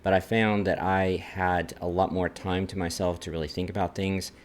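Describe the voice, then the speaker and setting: A man's voice: deep voice, little pitch movement, moderate pace. A man from Texas with an American accent, talking about his own life as a vlogger. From Texas, United States. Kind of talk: personal talk to camera, numbered list.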